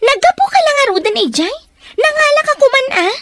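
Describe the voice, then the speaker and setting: A woman's voice: very high-pitched, lively intonation, fairly quick, a bit bright, no echo, studio quality. A woman playing a spoiled, snobbish young daughter in a radio drama, whiny and haughty with an Ilocano accent. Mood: bossy, irritated.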